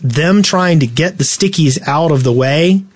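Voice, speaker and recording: A man co-hosting a casual gaming podcast, explaining tactics in a halting, instructional way. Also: fairly high pitch, no echo. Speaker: podcast host.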